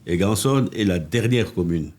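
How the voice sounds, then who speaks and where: An older man from Congo, a mayor telling animated anecdotes in a casual interview, with restarts and asides, recorded on a living-room podcast set. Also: low-pitched, moderate pace. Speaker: mayor, political guest.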